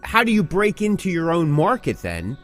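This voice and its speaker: A man's voice: on the high side, huge pitch swings, moderate pace, faint music behind. A man co-hosting a podcast in casual chat, repeating phrases for emphasis. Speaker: podcast co-host.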